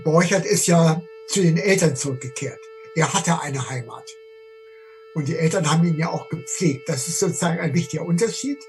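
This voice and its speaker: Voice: mid-range voice, a little fast, a little mellow, faint music behind. An expert interviewee on literature, talking in an explanatory, spontaneous way.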